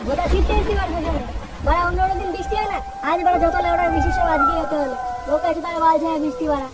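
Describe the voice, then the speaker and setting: A woman voicing a bossy, irritable village man in a comedy cartoon, scolding and foul-mouthed, with a pitched-up cartoon sound. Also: fairly even intonation, rapid-fire, recorded from a distance, over a noisy crowd, very noisy. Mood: irritated.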